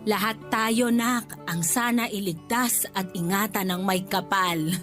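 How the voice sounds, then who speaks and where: An actress playing a caring, reassuring elderly nanny in a radio drama. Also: female voice, medium pitch, unhurried, faint music behind, lightly acted. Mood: soothing, reassuring. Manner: gentle, reassuring, motherly. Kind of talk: Tagalog radio drama acting.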